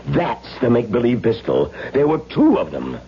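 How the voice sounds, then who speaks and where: A man's voice: mid-range voice, huge pitch swings, normal speed. An actor playing a flustered, bewildered man who stammers and repeats himself in a 1970s radio drama. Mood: shaken, bewildered. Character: flustered, bewildered man, a film production's pistol provider. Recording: dull, noisy old radio recording.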